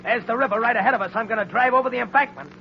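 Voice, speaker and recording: An actor playing a desperate, defiant gangster in 1930s radio style, tough and blunt. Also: male voice, very high-pitched, very fast, gravelly. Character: cornered male gangster, desperate, defiant and vengeful. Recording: muffled, noisy 1930s radio recording.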